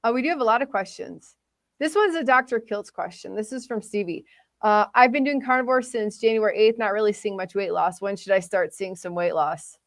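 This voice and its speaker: A woman's voice: medium pitch, brisk, no room sound, clean studio sound. A woman hosting and moderating a casual online talk, bubbly and encouraging, laughing often. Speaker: host and moderator.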